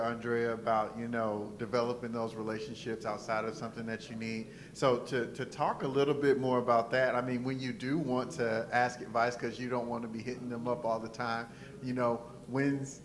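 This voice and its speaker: A man's voice: medium pitch, a bit bright. A man moderating a panel, asking wordy, layered follow-up questions in a conversational way.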